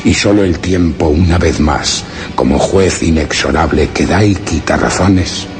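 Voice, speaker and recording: Very deep-voiced, grave explorer. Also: male voice, measured pace, music in the background. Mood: anguished, solemn. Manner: grave, formal, literary delivery. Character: anguished male explorer hiding among a foreign people, solemn and secretive. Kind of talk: radio drama first-person narration of suspense and horror.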